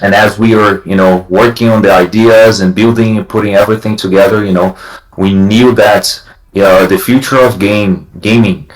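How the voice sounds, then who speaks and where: Deep-voiced man, echoey room. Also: fairly even intonation. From Brazil. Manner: halting, many restarts and "you know" fillers. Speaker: blockchain company CEO guest. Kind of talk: casual livestream chat.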